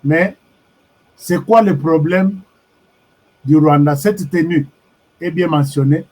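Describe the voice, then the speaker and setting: A Congolese man with a husky voice gives an animated political commentary, switching between French and Lingala and reacting to viewer comments. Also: on the high side, slow, rough recording. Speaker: online commentator. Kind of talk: live-streamed political commentary.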